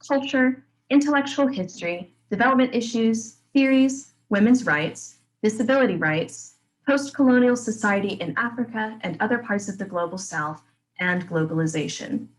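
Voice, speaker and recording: A woman's voice: medium pitch, low-quality recording. A woman, the series organizer, reading a scripted welcome and listing items one by one. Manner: reads list items one by one. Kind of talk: scripted introduction.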